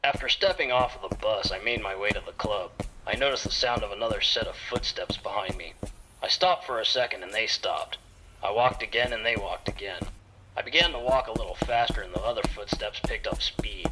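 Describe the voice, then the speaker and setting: A man's voice: fairly low pitch, fairly quick, background noise. A man narrating a scripted first-person detective story in a hard-boiled storytelling manner. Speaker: narrator playing a private detective.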